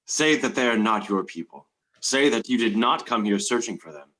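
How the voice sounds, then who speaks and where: Haughty old fantasy tyrant played by a man, low voice, grand and menacing stage delivery. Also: lively intonation, normal speed, sharp tone, low-quality recording. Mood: gloating, menacing. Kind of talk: dramatic table read of a stage play.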